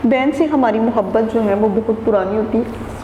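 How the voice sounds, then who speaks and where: Woman teacher, mellow voice, reads then re-explains each sentence, roomy echo, long reverb. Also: fairly high pitch, moderate pace. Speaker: Urdu teacher. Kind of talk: reading a textbook passage and explaining it line by line. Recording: echoey room with long reverb and faint noise.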